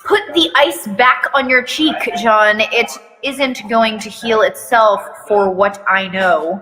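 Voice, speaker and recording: A woman voicing an irritable, bossy female school nurse who scolds and yells in a story reading. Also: a little high, slow. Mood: irritated. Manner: scolding, yelling. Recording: long echoing room reverb.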